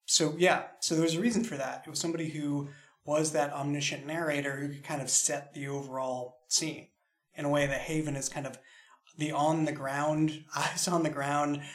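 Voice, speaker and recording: A man with a fairly high-pitched voice talks as a creator about his own fiction. He explains thoughtfully and haltingly, often rephrasing as he goes. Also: flat delivery. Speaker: audio drama creator. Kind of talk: reflective discussion of own writing.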